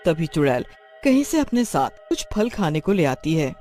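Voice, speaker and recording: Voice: medium pitch, sing-song, moderate pace, darkish tone, faint music behind. A narrator telling a scripted story in a dramatic storytelling manner.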